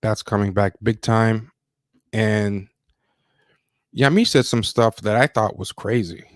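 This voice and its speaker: Low-voiced man chatting on a livestream. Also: no room sound, clean studio sound. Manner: rambling, halting, self-interrupting. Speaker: livestream host. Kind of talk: casual chat, news commentary.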